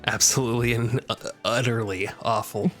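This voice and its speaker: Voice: mid-range voice, steady tone, normal speed, fairly bright tone. A streamer hosting a casual live chat in a playful, theatrical way. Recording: music playing behind. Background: music.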